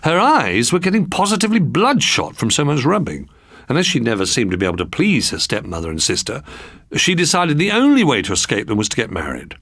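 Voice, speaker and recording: Expressive Englishman reading a children's story. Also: medium pitch, a little fast, no room sound, clean studio sound. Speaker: storybook reader. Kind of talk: scripted children's story narration.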